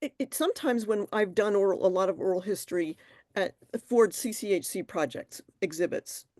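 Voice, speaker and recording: A woman's voice: mid-range voice, moderate pace, darkish tone, dry recording. A woman interviewer asking thoughtful questions in a conversational way, sometimes restarting her phrases.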